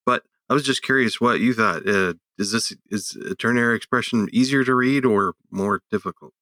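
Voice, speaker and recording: A man co-hosting a tech podcast, speaking casually in a hesitant, halting way. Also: on the low side, sharp tone, dry recording, clean studio sound. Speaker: podcast co-host.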